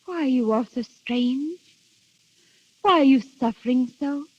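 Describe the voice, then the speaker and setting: A woman's voice: high voice, a little slow, slightly rough. An actress playing a devoted, romantic young woman, ardent and theatrical in 1930s radio drama style. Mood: dreamy, ardent. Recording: muffled old 1930s radio recording.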